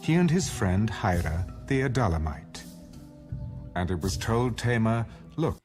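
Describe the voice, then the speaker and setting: A man's voice: on the low side, sing-song, unhurried, darkish tone, soft background music. A man reading scripture as the narrator of a dramatized audio Bible.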